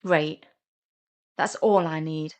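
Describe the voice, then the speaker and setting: A woman's voice: low voice, fairly even intonation, slow. An awkward man in his forties, acted out by a woman narrator in a romantic comedy audio story. He is shy and nervous. He turns huffy and indignant when flustered. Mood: annoyed. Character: shy, awkward, easily flustered forty-something older brother.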